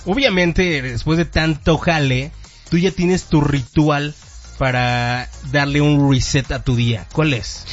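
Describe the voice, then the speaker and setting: A man with a Mexican accent hosting a radio show, chatting playfully with slang and quick laughs. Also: on the high side, highs cut off, soft background music. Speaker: radio host. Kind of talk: casual interview chat.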